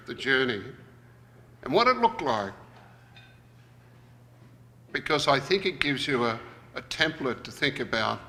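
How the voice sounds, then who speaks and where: A man's voice: mid-range voice, pushed and bright. A man giving a reflective plenary talk at a conference, thinking aloud as he goes. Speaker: conference presenter. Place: conference hall. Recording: reverberant conference hall.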